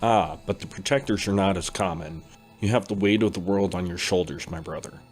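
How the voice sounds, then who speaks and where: A man playing a calm, steady older brother who teaches about dreams, with faint music behind. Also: low-pitched, a little fast, breathy edge. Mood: reassuring. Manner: reassuring, steady. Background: faint background music.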